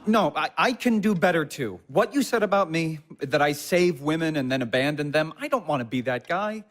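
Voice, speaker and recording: A man's voice: on the high side, lively intonation, moderate pace, fairly bright tone. A man playing an earnest young husband, hesitant and conscientious, in a naturalistic audio drama. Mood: earnest, uneasy.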